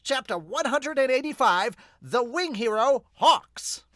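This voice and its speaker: A man's voice: very high-pitched, animated, unhurried, bright, forceful tone, no room sound, studio quality, voice pushed up, heavily acted. A man voicing a grand, emphatic announcer of hero rankings in an amateur manga dub.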